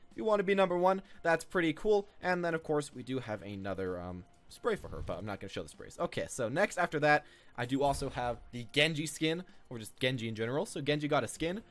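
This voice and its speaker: A man's voice: on the high side, expressive, quick pace. A man giving casual, unscripted, rambling commentary as the host of a gaming video. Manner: rambling, informal.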